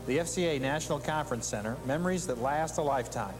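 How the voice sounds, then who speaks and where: A male commercial announcer with a slightly high voice delivers scripted ad copy. Clear music plays behind him. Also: quick pace. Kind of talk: scripted ad narration. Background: music bed.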